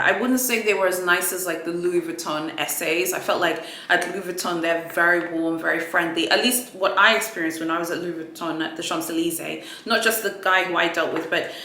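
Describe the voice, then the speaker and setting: A woman's voice: fairly low pitch, fairly even intonation, normal speed, few pauses, bright, forceful tone, roomy sound. A woman whose first language is Swahili, chatting casually and rambling through a luxury unboxing vlog, with frequent restarts and fillers. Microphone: ring light setup, camera mic.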